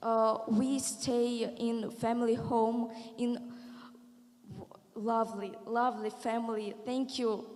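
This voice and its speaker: Young Ukrainian-accented woman, halting and very slow, reverberant hall. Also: on the high side, level tone, darkish tone. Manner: hesitant, grateful, halting English. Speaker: guest singer. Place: large concert hall on stage. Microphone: handheld microphone.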